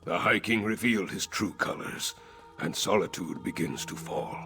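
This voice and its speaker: A man playing a grave, foreboding character in a fantasy video game, with a gravelly voice. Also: sits low, normal speed, bright, forceful tone, faint music behind, exaggerated voice. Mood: grim foreboding.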